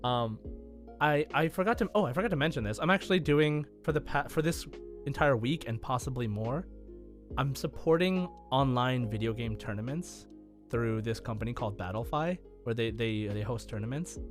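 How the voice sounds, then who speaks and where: A man's voice: medium pitch, animated, normal speed. A man co-hosting a gaming podcast, chatting casually in a rambling way with music behind him. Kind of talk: casual chat.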